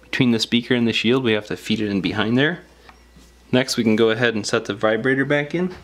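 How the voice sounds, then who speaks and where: A man's voice: medium pitch, fast, fairly bright tone. A man giving casual, step-by-step hands-on instructions in a plain-spoken, informal way. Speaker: repair tutorial host.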